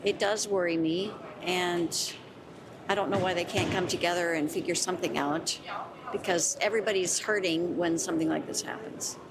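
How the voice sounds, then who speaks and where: A woman's voice: fairly low pitch, fairly even intonation, moderate pace. A woman giving off-the-cuff answers as a passenger in a street interview. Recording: outdoor traffic noise.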